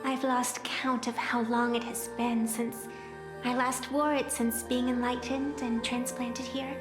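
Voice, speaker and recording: A woman playing an ancient, solemn games referee in a video game, speaking in a measured, formal storytelling way with long reverb. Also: fairly high pitch, little pitch movement, close to an everyday voice. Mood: solemn, stately. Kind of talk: scripted video game voice acting. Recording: long reverb over loud music.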